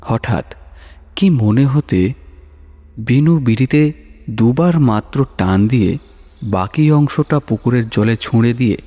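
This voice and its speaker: A man narrating a scripted horror story in a dramatic, measured, suspenseful manner. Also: medium pitch, mellow. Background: music.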